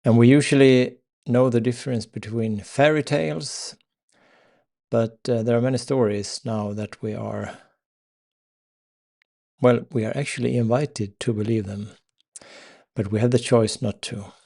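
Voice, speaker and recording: A man with a Swedish accent hosting a spiritual live stream, talking slowly and hesitantly with many fillers and pauses. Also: mid-range voice, steady tone, creaky, mellow. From Sweden. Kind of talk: unscripted spiritual talk.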